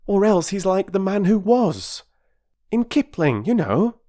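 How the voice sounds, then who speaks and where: A man voicing an excited young boy who exclaims his lines in a storybook reading. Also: high-pitched, unhurried, voice pushed up.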